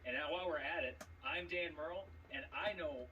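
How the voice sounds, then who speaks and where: A man's voice: on the high side, a little fast, sharp tone, dull audio. A man delivering a trash-talk promo as a competitor, cocky and theatrical, landing pointed emphasis on key words. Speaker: trivia champion competitor. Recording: distant mic with background noise.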